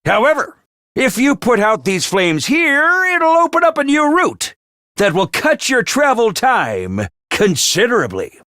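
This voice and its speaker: An actor playing a brisk, scheming male academy official who is theatrical and cold, swinging between booming orders and hushed asides. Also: huge pitch swings, no echo, full character voice. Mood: commanding. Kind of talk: video game voice lines. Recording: dry studio voice recording.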